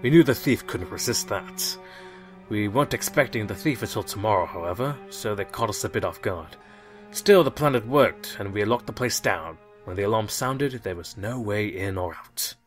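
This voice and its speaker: A man's voice: lowish voice, lively intonation, normal speed, soft background music, close to an everyday voice. A man voicing an earnest police inspector pony, matter-of-fact and explanatory, in an audio drama. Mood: explaining. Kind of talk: audio drama character acting.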